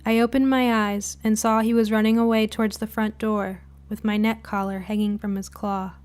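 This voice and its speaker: A woman reading a scripted spooky short story as narrator, in a dramatic, deliberate way. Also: medium pitch, level tone, a little mellow, smooth. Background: music bed.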